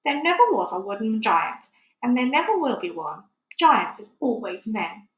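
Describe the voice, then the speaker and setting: A woman voicing a very old, good-hearted male giant from a children's storybook, excitable and easily wounded, talking in muddled grammar and made-up words. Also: a little high, normal speed, dull audio. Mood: indignant. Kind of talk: children's storybook read aloud. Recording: echoey room.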